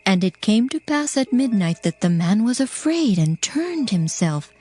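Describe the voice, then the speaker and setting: A woman narrates a scripture story from a script. Her mid-range voice is formal and measured, and quiet music runs beneath it. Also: mid-range voice, very animated, husky.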